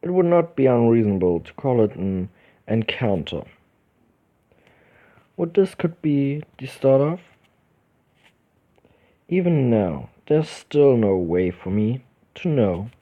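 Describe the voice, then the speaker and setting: A man reading a visual novel's narration aloud, slowly and with small stumbles and restarts. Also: mid-range voice, very animated. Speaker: let's play host. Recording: dull lo-fi recording with game music behind.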